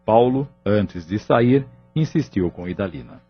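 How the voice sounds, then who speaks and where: Low-voiced male narrator, dull sound. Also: lively intonation, unhurried, slightly rough. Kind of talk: scripted narration of an audio novel. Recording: dull lo-fi audio with music underneath.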